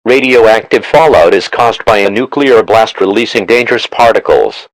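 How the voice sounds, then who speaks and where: A man's voice: fairly low pitch, moderate pace, dry recording, low-quality recording. A synthetic male voice reading a scripted emergency broadcast announcement in a formal, automated manner. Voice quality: synthetic text-to-speech voice. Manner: flat, formal, automated delivery.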